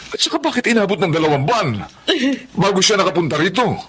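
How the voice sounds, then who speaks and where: A man's voice: high voice, expressive, fast, fairly bright tone, slightly rough, full character voice. A man voicing a humble poor father and jeepney driver who blames himself for his daughter's suffering. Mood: remorseful. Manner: humble, deferential, remorseful.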